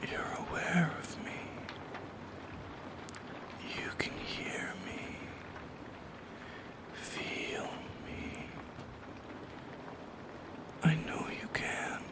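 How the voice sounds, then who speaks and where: A man voicing a lonely, gently eerie shadow creature in an ASMR roleplay, in a breathy, hushed whisper with poetic, lingering phrasing. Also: extremely slow, long pauses. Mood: intimate, coaxing. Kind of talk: soft-spoken ASMR roleplay monologue.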